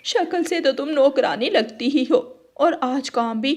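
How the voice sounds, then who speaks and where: A woman's voice: sits high, unhurried, a little mellow. A woman voicing a quarrelsome female crow in a Hindi cartoon story, with a theatrical delivery. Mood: mocking. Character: mocking, quarrelsome female crow wife, later pleading.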